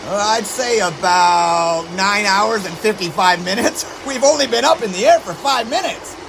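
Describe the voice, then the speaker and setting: An actor playing a cheerful, folksy, unflappable test pilot in a period radio drama, breezy and reassuring. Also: male voice, high voice, normal speed, gravelly. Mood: amused, easygoing. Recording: noisy aircraft engine background.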